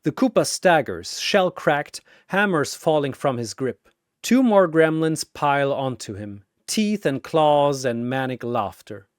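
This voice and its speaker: A man tells an action story theatrically, in short suspenseful phrases. His delivery is slow with lively swings in pitch, and the recording is dry and close. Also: no room sound. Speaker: storyteller, narrator. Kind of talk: dramatic scripted action story narration.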